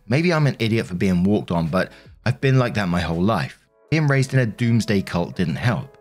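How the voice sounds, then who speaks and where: Young man, low voice, storyteller reading posts with chatty exasperated asides, faint music underneath. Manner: conversational, reacting with exasperated asides. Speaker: narrator reading online posts.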